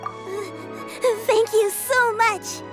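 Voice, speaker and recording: A woman's voice: very high-pitched, fairly even intonation, extremely slow, loud music behind the voice, exaggerated voice. A woman voicing a sweet, considerate young girl in a scripted video game scene. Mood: hesitant, grateful.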